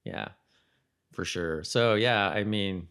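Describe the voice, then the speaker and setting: A man co-hosting a podcast, chatting informally about a TV show, haltingly and repeating himself for emphasis. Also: low voice, fairly bright tone, clean tone. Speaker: podcast co-host. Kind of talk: casual TV-recap chat.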